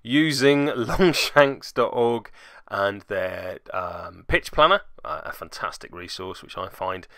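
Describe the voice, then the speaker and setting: A man's voice: on the low side, expressive, slow pace, a bit bright, dry recording, studio quality. A man hosting a web series, giving jokey, self-deprecating commentary on tabletop games with theatrical turns of phrase. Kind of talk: tabletop game video commentary.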